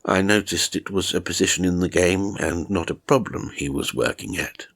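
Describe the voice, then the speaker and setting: A man's voice: low-pitched, normal speed, fairly bright tone. A man narrating a first-person horror story in a dramatic audiobook style.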